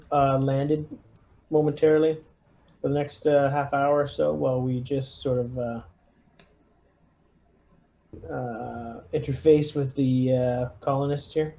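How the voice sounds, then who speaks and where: Slow, mellow-voiced man playing squad leader. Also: fairly high pitch, fairly even intonation. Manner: hesitant, deliberating. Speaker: player acting as squad lieutenant in a tabletop game. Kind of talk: casual in-game talk, giving orders.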